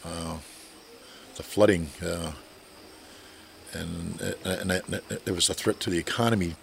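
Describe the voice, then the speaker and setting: An older man speaking slowly and reflectively in an interview, pausing with frequent fillers. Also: deep voice, fairly even intonation, creaky, slight background noise. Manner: measured, hesitant with fillers. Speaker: interviewee, Seneca elder.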